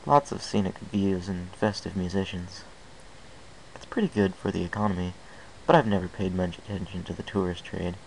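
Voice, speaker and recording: Deep, gravelly male storyteller. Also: moderate pace, a little mellow, slight background noise, rough recording. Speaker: fan fiction reader. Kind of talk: first-person story narration.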